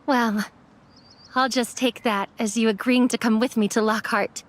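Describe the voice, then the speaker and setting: A woman's voice: on the high side, steady tone, measured pace, close to an everyday voice. A woman playing a young immortal apprentice mortician in an anime game, earnest and subdued. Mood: resolute.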